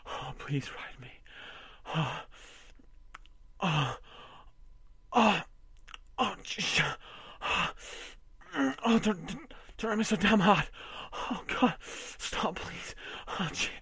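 A man voicing a domineering, possessive husband in an amateur audio roleplay, coarse and swearing, often dropping to a breathy whisper. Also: on the high side, animated, extremely slow, long pauses, sharp tone, no room sound, full character voice. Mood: panicked.

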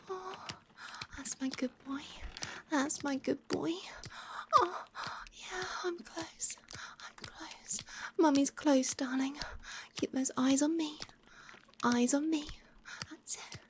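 Softly whispering woman, slow and doting. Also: high-pitched, noisy background. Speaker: audio roleplay performer. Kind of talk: intimate whispered roleplay ASMR. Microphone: close microphone.

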